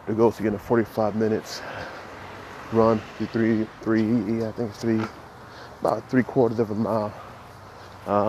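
A man in his thirties hosting a solo podcast, talking reflectively about his goals in a halting way with many restarts. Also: fairly low pitch, darkish tone, slight background noise. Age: 30s. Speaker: podcast host. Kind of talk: solo motivational podcast monologue.

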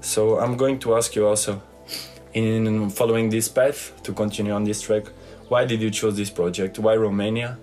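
A young Portuguese man with a Portuguese accent, speaking in a fairly low voice as he asks fellow students questions. He hesitates a lot and fills pauses with "uh". Faint music plays behind him. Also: steady tone, a little mellow. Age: young adult. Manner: hesitant, many fillers. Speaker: student interviewer. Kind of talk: casual group interview.

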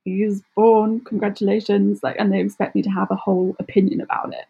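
A British woman speaking casually as an interview guest, chatty and expressive, often acting out little quotes. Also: mid-range voice, a little fast, vocal fry, slightly rough, dull audio. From United Kingdom. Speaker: podcast guest, travel blogger.

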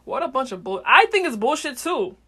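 A woman's voice: high-pitched, big pitch swings, a little fast, a bit bright, breathy edge. Unfiltered, slangy woman rambling casually. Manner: slangy, heavy swearing, unfiltered; meandering, thinking aloud. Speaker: podcast host.

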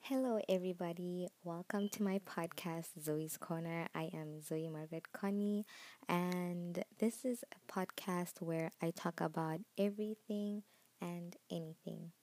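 A woman's voice: on the low side, fairly even intonation, unhurried, darkish tone. A woman chatting informally as a solo podcast host, telling things in a casual, conversational way. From Botswana, southern Africa. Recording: roomy echo, faint music.

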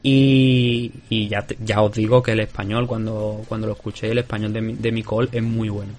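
A man's voice: lowish voice, flat delivery, moderate pace, a little mellow. A man with a Spanish accent hosting a podcast, talking casually and rambling with many restarts. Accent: Spanish from Spain. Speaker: podcast host. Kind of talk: casual chat. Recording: remote call.

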